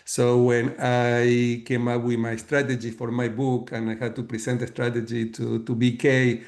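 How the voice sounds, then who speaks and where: A middle-aged man from Argentina with an Argentine Spanish accent, explaining his work as a leadership coach in a measured way, with many fillers and restarts. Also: mid-range voice, completely flat delivery, a little mellow. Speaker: guest author and leadership coach. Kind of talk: webinar talk.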